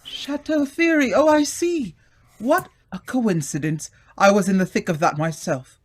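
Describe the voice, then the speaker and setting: A man playing an affable, self-assured gentleman veteran in an old-time radio drama, polite and sociable. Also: high-pitched, unhurried.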